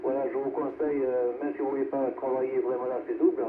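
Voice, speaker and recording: Un homme radioamateur qui bavarde de façon décontractée, en hésitant et en répétant ses mots. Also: male voice, medium pitch, flat delivery. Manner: halting, repetitive. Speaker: amateur radio operator. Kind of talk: casual chat over radio. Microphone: heard through a radio receiver speaker. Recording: narrowband radio with noise and reverberation.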